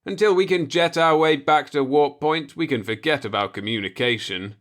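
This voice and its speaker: Man playing blunt spaceship crewman, lifted pitch. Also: normal speed, fairly bright tone, lightly acted. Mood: firm, explaining. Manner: blunt, matter-of-fact. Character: practical, blunt male spaceship crewman, taking charge. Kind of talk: storybook dialogue in a sci-fi audiobook.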